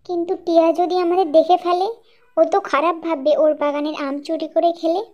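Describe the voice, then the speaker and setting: Someone doing a tiny earnest cartoon bird, super high kiddie voice. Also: fairly even intonation, a little fast, highs cut off. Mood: worried. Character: small cartoon birds, cautious, earnest, easily indignant. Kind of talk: children's cartoon story dialogue.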